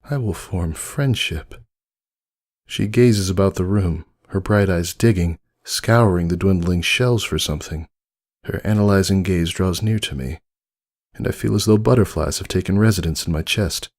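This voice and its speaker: A man voicing a wistful, devoted old stitched toy, narrating a dramatic fan fiction story in an earnest, measured way. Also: deep voice. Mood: hopeful, tender. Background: music under the voice.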